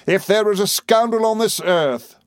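A man's voice: sits high, moderate pace, dry recording, clean studio sound, pitched above the performer's own voice, lightly acted. A man voicing a pompous, indignant male clerk in an audiobook, declaiming with grand vehemence and defiance. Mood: outraged.

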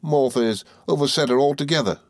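A man's voice: medium pitch, quick pace, no echo, studio quality, pitched above the performer's own voice, close to an everyday voice. A man voicing a devoted, humble working-class young man in a storybook, soothing and earnest, speaking in rustic dialect. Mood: tender soothing.

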